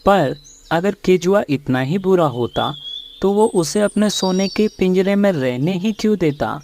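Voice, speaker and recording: A man's voice: high-pitched, lively intonation, unhurried, gravelly, darkish tone, slight background noise, heavily acted. A man voicing plain-spoken, earnest male animals in a children's cartoon fable. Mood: curious.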